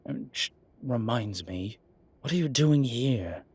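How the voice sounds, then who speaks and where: A man playing an exasperated, evasive demon professor in an audio roleplay, speaking haltingly and defensively with muttered asides. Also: mid-range voice, animated, darkish tone, breathy edge. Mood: flustered, evasive. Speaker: voice actor. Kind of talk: audio roleplay acting, one-sided dialogue.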